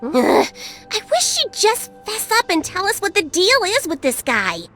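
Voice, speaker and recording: Very high-pitched, teasing little fairy. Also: female voice, wildly swooping pitch, measured pace, a bit bright, faint music behind, full character voice. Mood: nosy, exasperated. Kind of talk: video game voice acting.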